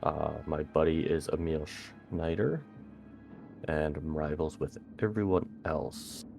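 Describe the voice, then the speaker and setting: A man's voice: very deep voice, takes it slowly, vocal fry, darkish tone, faint music behind. A man playing a tabletop game, talking casually about his character. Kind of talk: casual chat.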